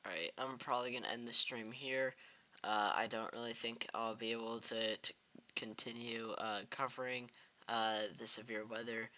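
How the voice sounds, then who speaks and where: A man hosting live severe weather coverage, talking in a halting way with many fillers and restarts. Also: mid-range voice, steady tone, bright, forceful tone. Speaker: livestream weather host.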